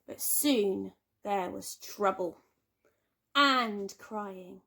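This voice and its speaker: A woman teacher reading a children's storybook aloud with expressive, dramatic emphasis and drawn-out words. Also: medium pitch, gravelly, husky. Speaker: primary school teacher.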